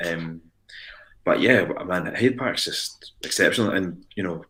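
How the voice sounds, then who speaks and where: Deep-voiced Scottish man, earnest musician. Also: measured pace, bright, forceful tone, low-quality recording. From Ayrshire, Scotland. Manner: earnest, rambling with frequent restarts. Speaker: musician guest. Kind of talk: casual interview chat.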